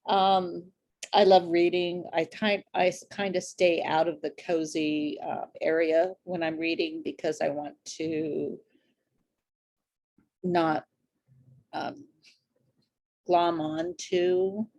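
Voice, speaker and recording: American lady, lowish creaky voice, author chatting casually, kinda rambly and halting. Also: little pitch movement, a little mellow. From Idaho, United States. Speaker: author, interview guest. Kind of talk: casual interview chat.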